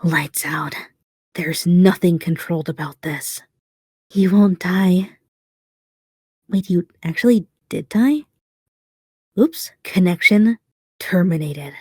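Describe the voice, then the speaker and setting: Woman as a husky, sneering animatronic. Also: on the low side, a little mellow, no room sound, studio quality, heavily acted. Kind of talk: video game character voice lines.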